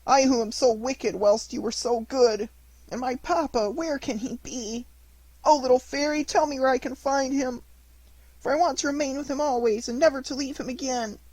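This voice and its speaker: A woman's voice: a little mellow, no room sound, poor audio. Pinocchio, a woman doing a tearful wooden puppet boy. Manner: high wailing sobbing delivery.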